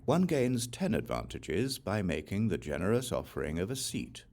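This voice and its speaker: A man reading scripture as an audiobook, in a measured, deliberate way with pauses between points. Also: low voice, big pitch swings, darkish tone. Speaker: audiobook reader.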